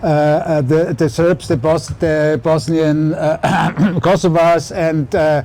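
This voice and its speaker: A man moderating a public talk outdoors, halting and full of fillers. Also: a little high, steady tone, darkish tone. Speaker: event moderator. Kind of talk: public talk on a city tour. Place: outdoor city square.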